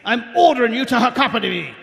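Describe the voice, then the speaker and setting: Nervous blustery guard sergeant, gravelly n piercing, live radio play in echoey hall. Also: male voice, extremely high pitch, animated, fast, a bit bright, heavily acted. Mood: blustering, nervous. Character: reluctant, deferential watch sergeant, cowardly and blustering. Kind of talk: live audio theatre acting.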